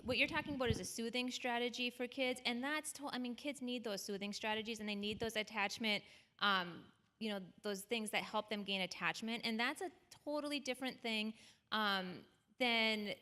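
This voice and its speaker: A woman with a bright, crisp voice answers parenting questions on a panel in a church. She speaks in a conversational way and keeps checking in with her listeners, tossing in a quick "right?". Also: on the high side. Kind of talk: advising at a panel discussion. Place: church auditorium.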